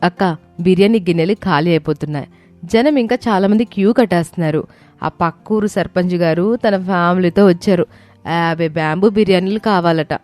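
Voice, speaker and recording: A woman's voice: lowish voice, fairly quick, a little mellow, faint music behind, close to an everyday voice. A woman voicing an encouraging, resourceful young daughter-in-law in a moral story. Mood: busy, eager. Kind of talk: moral story character acting.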